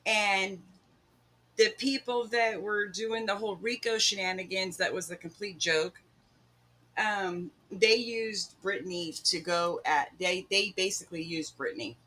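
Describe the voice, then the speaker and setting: A woman's voice: mid-range voice, a little slow, pushed and bright. A woman co-hosting a livestream, giving blunt commentary in a casual, conversational style.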